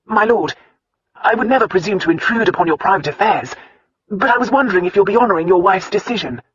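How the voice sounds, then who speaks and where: A man's voice: high voice, fast, fairly bright tone, husky, dull audio, voice pushed up. A narrator voicing a very young, anxious male butler who speaks in an earnest, fretful way. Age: young adult.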